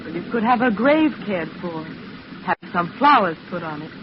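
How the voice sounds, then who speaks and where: Actress as prim young secretary, muffled old recording. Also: female voice, on the low side, lively intonation, normal speed, noisy background, lightly acted. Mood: helpful. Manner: prim, polite, hesitant yet frank. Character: polite, frank young female secretary, patient but disapproving. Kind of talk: old-time radio drama acting.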